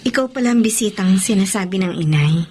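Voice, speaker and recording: An actress playing a gentle, resolute bride-to-be in a radio drama, speaking in a composed, sincere and measured way. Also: female voice, medium pitch, slight background noise, close to an everyday voice. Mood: guarded. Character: gentle, resolute bride-to-be forgiving her former love. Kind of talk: Filipino radio drama acting. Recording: faint music behind the voice.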